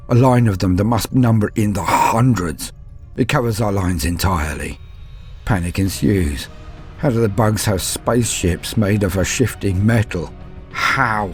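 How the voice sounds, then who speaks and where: A man's voice: on the low side, darkish tone, lightly acted. A man playing a fearful, despairing human soldier, telling his story in grave, theatrical first-person narration. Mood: awed horror. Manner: grave, theatrical, deliberate phrasing.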